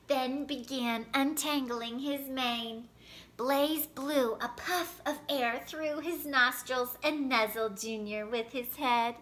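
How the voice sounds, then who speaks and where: High, bright woman reading a storybook. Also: a little hiss or hum. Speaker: children's storyteller. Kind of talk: expressive scripted storybook narration.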